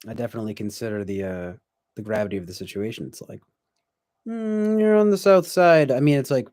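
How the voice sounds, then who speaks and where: A man's voice: medium pitch, a little fast, darkish tone, no echo, studio quality. A man in his twenties chatting casually on a stream, reflective and rambling, with many fillers and restarts. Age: 20s. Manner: reads viewer comments aloud. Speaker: livestream host.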